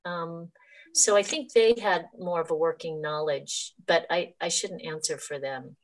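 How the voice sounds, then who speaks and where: Lower-voiced woman on a video call. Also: unhurried, poor audio. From United States. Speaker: actress. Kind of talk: casual Q&A answers. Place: living room at home. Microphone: laptop webcam on a video call.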